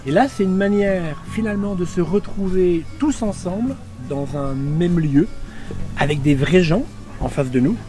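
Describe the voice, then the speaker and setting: A man who works as a cultural development officer, explaining his work in an earnest, clear way during an interview. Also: high-pitched, measured pace, mellow, soft background music.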